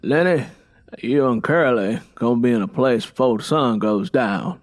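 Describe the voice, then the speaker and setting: A man's voice: medium pitch, normal speed, close to an everyday voice. A man voicing a stern, confident Southern matriarch in an audiobook, instructing firmly with a Southern US accent. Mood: reassuring.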